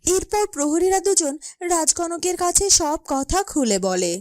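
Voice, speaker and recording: A woman's voice: extremely high pitch, big pitch swings, unhurried, a little mellow, no echo, full character voice. A woman reading scripted narration for a cartoon fairy tale. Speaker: narrator and character voice.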